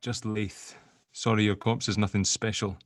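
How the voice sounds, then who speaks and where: A man's voice: lowish voice, little pitch movement, normal speed, dry recording, clean studio sound, natural voice. A man playing a sardonic, joking sceptic in an audio drama table read, bantering informally. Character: sardonic, sceptical spirit-dealing grave opener, gently joking.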